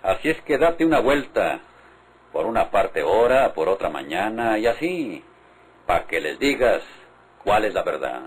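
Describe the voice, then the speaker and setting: An actor playing a sly, powerful Mexican country boss in a vintage radio drama, folksy and insinuating, with menace under the friendliness. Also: male voice, on the low side, very animated, a little slow, husky, exaggerated voice, rough recording. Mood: veiled menace. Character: sly, powerful rural patron, falsely friendly, veiled menace.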